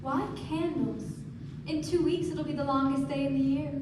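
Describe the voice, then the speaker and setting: Dreamy, theatrical young society wife acted by a woman, high-pitched; radio drama on a noisy, echoing stage. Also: steady tone, moderate pace, distant mic, close to an everyday voice. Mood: gushing. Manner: gushing, theatrical. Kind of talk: live radio play acting. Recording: echoey stage recording from afar, noisy.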